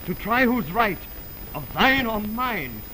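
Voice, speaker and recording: An actor playing a bold, scornful, hot-blooded young lover with theatrical declamation in 1920s radio Shakespeare style. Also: male voice, high-pitched, very animated, slow pace, sharp tone, full character voice. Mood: scornful, defiant. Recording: crackly 1929 radio film recording.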